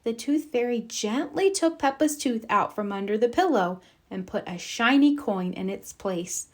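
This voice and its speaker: A woman reading a children's storybook aloud in an expressive, deliberate storytelling style. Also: on the high side. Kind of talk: read-aloud scripted narration.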